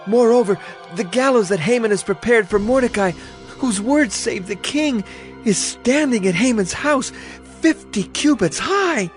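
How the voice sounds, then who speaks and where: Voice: medium pitch, expressive, unhurried, natural voice. A performer playing a pointed court eunuch attendant, speaking formally in a dramatized scripture reading. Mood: urgent, pointed. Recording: music behind the voice.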